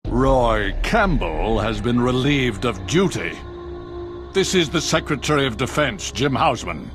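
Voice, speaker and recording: A man plays a cold, scheming government official who speaks slowly and smoothly. His delivery is condescending and his pitch moves a lot. Game music runs behind him. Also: animated, natural voice. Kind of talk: video game cutscene.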